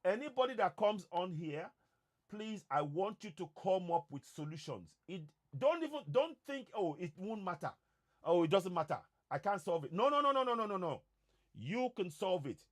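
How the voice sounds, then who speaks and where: Emphatic Nigerian man, lively pitch. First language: Yoruba. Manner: emphatic, repeats words for emphasis. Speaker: online activist host. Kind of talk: impassioned monologue.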